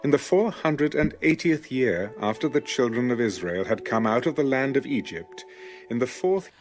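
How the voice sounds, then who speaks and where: A man giving a measured, formal scripted narration as a reader of scripture. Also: medium pitch, animated, faint music behind. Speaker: audio Bible narrator.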